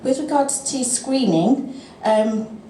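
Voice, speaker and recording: A woman gives a talk and fields questions in a lecture room. Her tone is mellow and warm, and she picks her words carefully, with small restarts. The sound is roomy, with a faint hiss behind her. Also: a little high. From England. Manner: measured, thinks aloud with fillers and restarts. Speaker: speaker at a GP teaching session. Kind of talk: presentation and answering questions.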